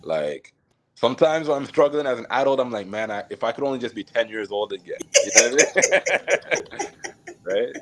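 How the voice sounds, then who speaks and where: A man from Jamaica, a podcast guest, telling his life story in a relaxed, reflective way with many fillers and small laughs. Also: mid-range voice, fairly quick, slight background noise. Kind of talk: casual storytelling interview.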